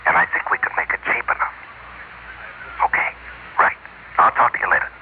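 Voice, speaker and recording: An actor playing a breezy, eager engineer brother in a vintage radio drama, with a casual, hurried manner. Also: male voice, low-pitched, lightly acted. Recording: phone-like vintage radio, heavy noise.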